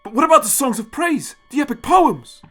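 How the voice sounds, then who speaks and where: An impassioned young man acted by a male narrator in a science fiction audio story. He is earnest and urgent, his voice pushed high and swinging widely in pitch. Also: very animated, a little fast, bright, forceful tone, faint music behind, exaggerated voice. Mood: distressed, disbelieving. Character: earnest, emotional young male space traveller.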